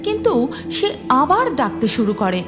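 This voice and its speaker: A woman's voice: high voice, very animated, moderate pace, over music. A woman narrating a scripted children's cartoon story.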